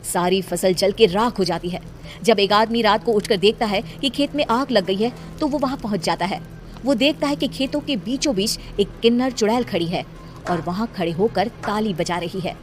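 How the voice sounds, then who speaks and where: A woman storyteller reading scripted narration for an animated tale. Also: mid-range voice, normal speed, slight background noise. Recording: music behind the voice.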